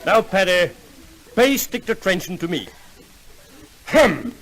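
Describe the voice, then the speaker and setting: An actor playing a pompous, self-assured psychoanalyst doctor in an old-time radio comedy sketch. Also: male voice, sits high, big pitch swings, full character voice. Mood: patient, pompous. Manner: pompous, formal, deliberate questioning. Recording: old radio recording, faint noise.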